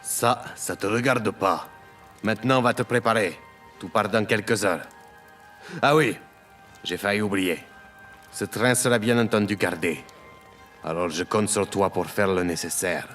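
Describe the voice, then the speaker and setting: A man's voice: lowish voice, measured pace, pauses often, fairly bright tone. Man acting cold, imposing crime boss, music behind. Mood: cold, commanding. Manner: curt, authoritative. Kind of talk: audio drama acting. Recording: music under the voice.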